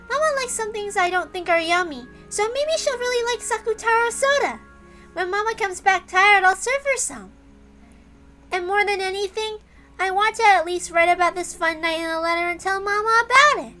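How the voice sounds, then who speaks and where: An innocent, affectionate little girl with a very high, bright voice. She gushes like a child, eager and emphatic, in a visual novel read aloud over music.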